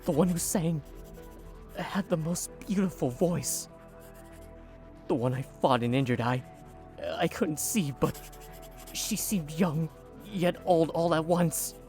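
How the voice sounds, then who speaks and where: A haunted young male witness, as a man voices him, halting and hesitant, speaking very slowly. Soft music plays behind him in a fantasy audio drama. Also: fairly high pitch.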